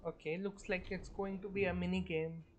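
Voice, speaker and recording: A woman's voice: fairly low pitch, fairly even intonation, fairly quick, slight background noise. A woman live streaming a game, chatting casually and murmuring offhand to herself as she reads instructions aloud.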